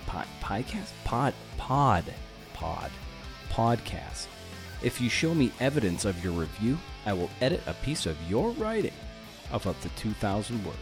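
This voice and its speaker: A man's voice: on the low side, big pitch swings, measured pace, music in the background. A man hosting a podcast, talking casually to his guest and listeners. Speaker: podcast host. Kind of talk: casual podcast interview and sign-off.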